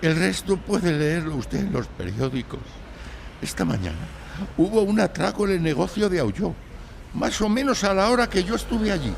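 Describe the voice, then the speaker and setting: A meek, anguished young man in a radio-style drama. His voice is high and gravelly at the edges, halting and full of sighs, with noticeable noise behind it. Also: very animated, exaggerated voice. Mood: nervous, hesitant. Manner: hesitant, halting, sighing. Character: timid, anguished young male client telling his misfortune. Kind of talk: live audio drama acting.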